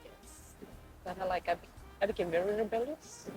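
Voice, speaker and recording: A woman's voice: medium pitch, lively intonation, a little fast, music in the background, rough recording. A woman in her late twenties from Fiji, giving a personal account as an interview guest. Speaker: podcast guest, postgraduate student. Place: couch in a room.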